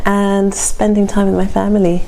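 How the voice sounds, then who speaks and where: A woman's voice: medium pitch, flat delivery, moderate pace, creaky, mellow, rough recording. A woman with a London accent chatting casually as a podcast co-host, with noise around her. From London, England. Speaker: podcast co-host being interviewed. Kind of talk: casual chat.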